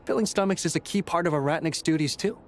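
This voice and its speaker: A man's voice: a little high, fast, a little hiss or hum, lightly acted. A man voicing an earnest, dutiful young squad leader in a video game, explaining things in a friendly way. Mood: warm, hopeful. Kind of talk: English video game character acting. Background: background music.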